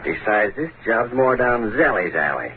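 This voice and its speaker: An actor playing a hard-boiled, scheming gangster boss in an old radio drama, with tough, slangy delivery. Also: male voice, lowish voice, sing-song, normal speed. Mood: menacing, scheming. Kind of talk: 1930s-40s radio drama acting. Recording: noisy, phone-like old radio broadcast.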